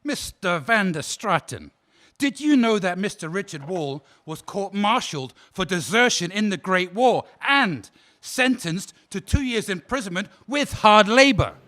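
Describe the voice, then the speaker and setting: A man's voice: high-pitched, animated, unhurried, pushed and bright, heavily acted. A man playing a defense counsel in a courtroom radio drama, questioning a witness politely but insistently. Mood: pressing. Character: male defense counsel, formal and probing. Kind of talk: audio drama acting.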